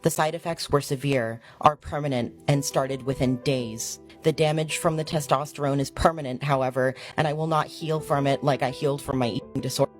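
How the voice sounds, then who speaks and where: A woman's voice, deepened so that it can no longer sing or rise, gives a solemn testimony in a measured way. Faint music plays underneath. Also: steady tone. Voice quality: deepened voice, cannot sing or raise it. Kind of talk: read-out personal testimony.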